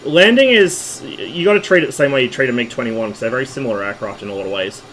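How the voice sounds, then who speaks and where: A man streaming a flight simulator, explaining how to fly in a relaxed way with hesitant fillers, his voice hoarse from a recent flu. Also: medium pitch, fast, slight background noise, poor audio. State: losing his voice after a flu. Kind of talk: casual flight-sim commentary.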